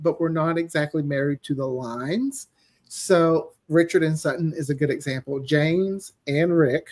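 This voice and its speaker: A man's voice: fairly high pitch, a little mellow. A man chatting casually as an interview guest, a writer who acts out quoted reactions as he explains. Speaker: podcast guest, audio drama writer.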